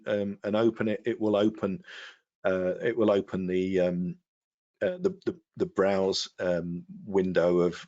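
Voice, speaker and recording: Low-voiced man giving a halting tech walkthrough. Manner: halting, explanatory. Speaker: guest product expert giving a demonstration. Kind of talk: technical software walkthrough. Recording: dull, lo-fi web stream audio.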